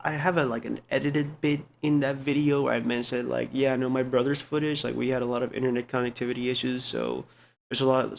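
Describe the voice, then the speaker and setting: A young man streamer with a low speaking voice, talking in a rambling, slangy way full of restarts and fillers, close to a desk mic at home. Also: gravelly, a little hiss or hum. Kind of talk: casual chat. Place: home computer room.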